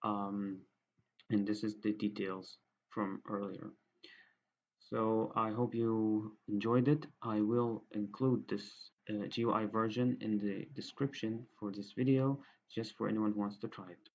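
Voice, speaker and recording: Low, creaky-voiced Egyptian man. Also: steady tone, measured pace. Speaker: student programmer presenting a project. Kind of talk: software demo narration, explanatory. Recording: dull, lo-fi microphone sound.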